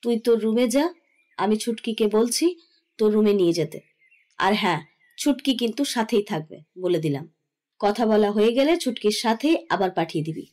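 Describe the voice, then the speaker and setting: A woman's voice: mid-range voice, animated, normal speed, a little mellow, close to an everyday voice. A woman playing a middle-aged mother in an audio drama, scolding yet indulgent, chiding and teasing with firmness. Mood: firm. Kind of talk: Bengali audio drama acting.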